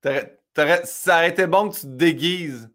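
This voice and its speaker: A man's voice: on the high side, a bit bright. Easygoing Québécois host man. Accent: Québécois French. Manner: laughs easily, short quick questions. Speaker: podcast host. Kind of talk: casual podcast interview.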